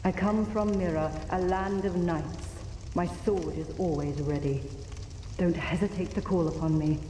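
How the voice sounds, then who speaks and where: A woman's voice: fairly low pitch, fairly even intonation, measured pace, mellow, reverberant, big-room sound, a little hiss or hum, natural voice. A woman playing a guarded, aloof wandering knight in a fantasy video game. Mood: solemn.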